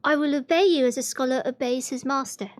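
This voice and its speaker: Woman voicing an eager high-voiced little hare. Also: fairly even intonation, fairly quick, lightly acted.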